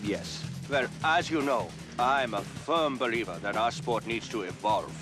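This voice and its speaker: A man playing a ruthless star racer in a video game, haughty and measured, with music behind him. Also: medium pitch, lightly acted. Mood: coolly menacing. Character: calculating, ruthless male star racer villain. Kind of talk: game cutscene acting.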